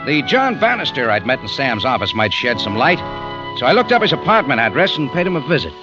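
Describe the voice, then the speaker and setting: A man acting a dogged American private-eye type from vintage radio drama, clipped, hard-boiled and skeptical. His delivery swings up and down in pitch, on a dull old recording with prominent music behind him. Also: sing-song, a little fast, natural voice. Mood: terse narrating. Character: dogged, wisecracking male amateur detective and cafe owner. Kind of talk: old-time radio detective drama, first-person narration.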